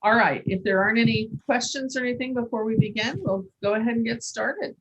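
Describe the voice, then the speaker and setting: A judge presiding over a court hearing on a video call, measured, with some fillers. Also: medium pitch, a bit bright, breathy edge, dull audio.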